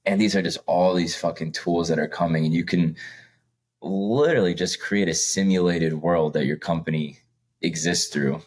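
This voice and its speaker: A man's voice: low-pitched, normal speed, a little mellow, husky. A young man co-hosting a podcast, rambling casually with lots of fillers and laughs between points. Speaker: podcast co-host. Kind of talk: casual chat.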